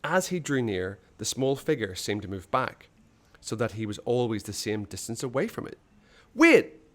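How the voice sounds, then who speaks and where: A man reading a children's adventure story aloud in a dramatic, suspenseful way, with hushed phrase endings. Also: lowish voice, expressive, normal speed. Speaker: storybook reader.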